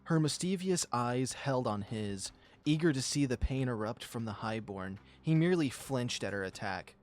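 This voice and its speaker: A man's voice: mid-range voice, expressive, moderate pace. A man reading a visual novel aloud as a channel host, in a storytelling style with casual commentary.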